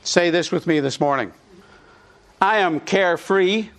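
A man's voice: sits high, measured pace, a bit bright. A middle-aged man with an Irish accent, preaching a sermon and leading the congregation in call-and-response. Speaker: pastor.

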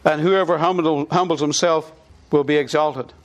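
A man's voice: a little high, fairly even intonation, moderate pace. A middle-aged man with an Irish accent, preaching a sermon and leading the congregation in call-and-response. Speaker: pastor.

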